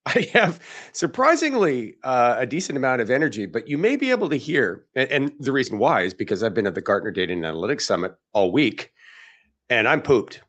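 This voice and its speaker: A man hosts a live podcast in a loose, chatty way. His voice is hoarse and raspy from days of talking, yet it bounces with lively swings in pitch. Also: brisk, muffled recording. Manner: chatty, upbeat, greeting viewers. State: hoarse, tired voice. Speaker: podcast host.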